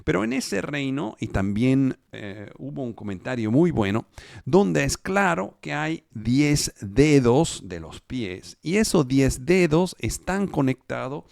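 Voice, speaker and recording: A man teaching a live online Bible study in Spanish, which is not his first language, explaining scripture step by step and pausing on fillers. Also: mid-range voice, very animated, creaky, darkish tone, dry recording, clean studio sound. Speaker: preacher.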